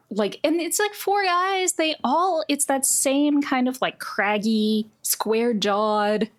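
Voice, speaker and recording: High-voiced woman running a tabletop game. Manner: colloquial, conversational storytelling. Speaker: game master. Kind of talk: narrating a tabletop roleplaying game.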